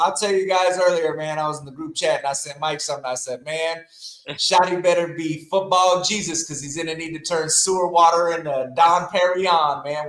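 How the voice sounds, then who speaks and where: Man, from the Midwest, hoarse with a cough, profane, excitable, echoey room. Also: fairly high pitch. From American Midwest. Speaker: co-host. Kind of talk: casual live-stream chat.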